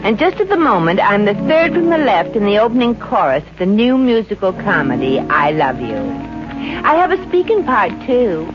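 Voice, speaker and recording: A woman's voice: mid-range voice, very animated, moderate pace, close to an everyday voice. A woman playing a wisecracking, friendly young Brooklyn showgirl with an American accent in a 1950s radio comedy, wry and slangy. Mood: breezy, chatty. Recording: muffled old radio recording with music.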